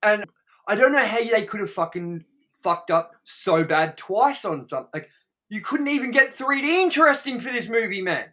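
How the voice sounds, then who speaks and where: A man talks casually as co-host of a movie review show. His voice sits a little high and has a bright, forceful edge. He is opinionated and emphatic, swearing freely as he makes his points. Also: animated, moderate pace.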